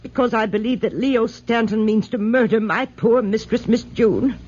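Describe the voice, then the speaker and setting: An elderly nurse-companion, husky and earnest, fiercely protective of her mistress. She speaks with formal urgency in old radio-drama manner. The sound is muffled. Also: fairly high pitch, normal speed, a little mellow. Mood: anxious, earnest. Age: older. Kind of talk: 1940s radio drama acting. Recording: muffled 1940s radio recording.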